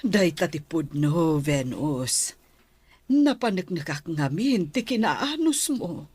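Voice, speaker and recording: A woman's voice: on the low side, sing-song, takes it slowly, darkish tone, slightly rough, no room sound, heavily acted. A woman playing a contrite middle-aged Filipino aunt in a radio drama. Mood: contrite, grateful. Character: contrite middle-aged aunt, softened toward her niece.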